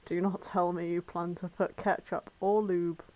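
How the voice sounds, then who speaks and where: A woman's voice: lowish voice, little pitch movement, unhurried, darkish tone, no echo, close to an everyday voice, low-quality recording. A woman acts out a refined cannibal doctor from a comic fan fiction. The delivery is formal and fussy, long-suffering yet lovestruck, with a very dry, close sound. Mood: pleading, exasperated.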